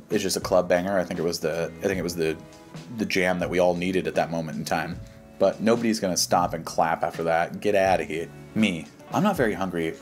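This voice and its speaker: An American man doing casual reaction commentary, reading aloud and responding in a slangy, mocking, dismissive way. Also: sits low. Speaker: YouTube commentary host. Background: background music.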